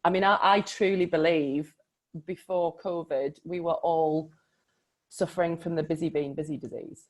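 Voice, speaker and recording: A woman's voice: sits low, steady tone, moderate pace. A woman coach in a casual conversation about a book, speaking reflectively and with emphasis, often laughing.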